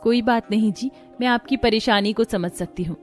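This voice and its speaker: A woman's voice: a little high, normal speed, a little mellow, soft background music, natural voice. A woman playing a patient, dutiful young bride in a cartoon moral story, speaking politely and earnestly. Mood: gentle, reassuring.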